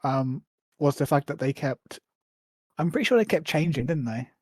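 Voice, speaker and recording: A man's voice: fairly high pitch, expressive, moderate pace, darkish tone, breathy edge, no echo. Hesitant man with a dry sound. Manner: hesitant, many ums and restarts. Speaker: podcast co-host. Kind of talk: casual chat.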